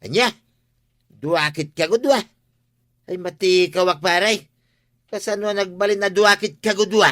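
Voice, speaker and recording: A man's voice: high-pitched, a little slow, long pauses, a bit bright. A man with an Ilocano accent playing a nosy, easily baffled village friend in a radio comedy drama, prodding and teasing. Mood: amazed.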